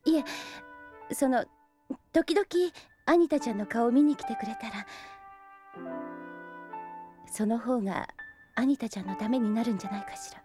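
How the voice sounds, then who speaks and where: Actress as a gentle, higher-voiced caring woman. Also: normal speed, long pauses, faint music behind, close to an everyday voice. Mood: gentle, hesitant. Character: gentle, concerned woman asking after a child. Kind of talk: Japanese audio drama dialogue.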